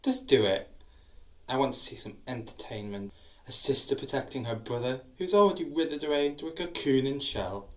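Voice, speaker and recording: A woman's voice: extremely low pitch, brisk, a little mellow, reverberant. A woman playing a cruel, taunting ancient male demon in an audio drama, with menacing, theatrical delivery.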